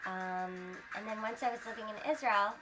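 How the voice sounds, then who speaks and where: A woman's voice: mid-range voice, steady tone, normal speed, music in the background, low-quality recording. A woman in her twenties from Brooklyn, a former soldier, speaking sincerely and conversationally with some fillers. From Brooklyn, New York. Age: 20s. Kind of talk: earnest interview testimony.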